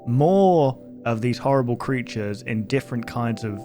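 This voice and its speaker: A man's voice: mid-range voice, expressive, moderate pace, over music. A man hosting a reaction video in a casual, rambling, speculative way.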